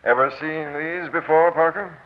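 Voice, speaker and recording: An actor playing a tough, no-nonsense police captain, blunt and commanding, in a 1940s radio crime drama. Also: male voice, fairly high pitch, fairly even intonation, moderate pace, natural voice. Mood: stern, questioning. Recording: dull, band-limited old radio broadcast.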